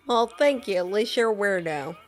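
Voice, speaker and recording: A woman's voice: mid-range voice, animated, normal speed, soft background music. A woman playing a video game, reacting casually and informally in character. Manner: informal, sweary. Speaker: game player.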